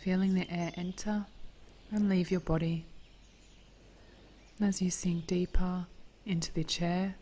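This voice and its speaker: A woman leading a guided meditation in a gentle, soothing, hushed voice, with phrases trailing into breath. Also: fairly low pitch, flat delivery, measured pace, long pauses, slight background noise.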